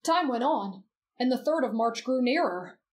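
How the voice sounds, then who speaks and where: A woman voicing a gentle teenage boy who reads in a soft, measured, expressive storybook way for roleplay ASMR. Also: fairly high pitch, close to an everyday voice. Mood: measured storytelling.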